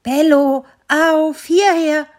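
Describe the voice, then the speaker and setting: A woman voicing an impatient, breathless male jogger calling loudly for his dog, in a children's story. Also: high-pitched, steady tone, drawn-out words, voice pushed up. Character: out-of-breath jogging dog owner, impatient man.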